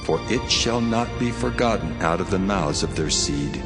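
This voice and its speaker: A very deep, solemn God. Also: male voice, expressive. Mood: grave warning. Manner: grave, deliberate, authoritative. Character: God, solemn stern male deity pronouncing judgment. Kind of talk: dramatized scripture reading. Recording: music under the voice.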